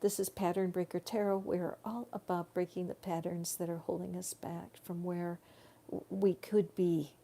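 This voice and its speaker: A woman giving a live tarot reading in slow, soothing spiritual guidance, her voice growing tired. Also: lowish voice, flat delivery, vocal fry, warm tone. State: tiring voice. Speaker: tarot reader.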